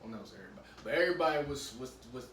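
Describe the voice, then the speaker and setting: A man's voice: mid-range voice, animated, a crowd in the background. Rambling young man in an echoey room. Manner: rambling, halting, many restarts. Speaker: podcast host. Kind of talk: casual chat. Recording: echoey room, mic at a distance, faint hum.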